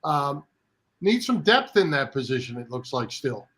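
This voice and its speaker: A man's voice: medium pitch, big pitch swings, a little slow, fairly bright tone. A man co-hosting a sports show in a casual talk style.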